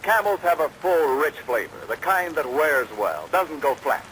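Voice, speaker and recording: A man's voice: on the high side, big pitch swings, normal speed, a bit bright, a little hiss or hum, poor audio. An American man reading 1940s radio advertising as an announcer, with a polished salesman's delivery. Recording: old radio broadcast, rain overlaid.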